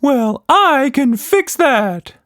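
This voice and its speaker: A man's voice: very high-pitched, big pitch swings, very slow, a bit bright, no echo, studio quality, voice pushed up, heavily acted. A man voicing a boisterous magical blob monster from a children's story, a theatrical showman who bellows and sings his lines. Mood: grand showmanship. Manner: theatrical, bellowing, sing-song.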